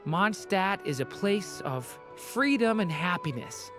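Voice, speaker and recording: Kind earnest young traveler in a game, mid-pitched, sincere, music in the back. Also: expressive, takes it slowly. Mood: reassuring. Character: kindly, earnest young traveler, gentle and sympathetic. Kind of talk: dramatic game voice acting.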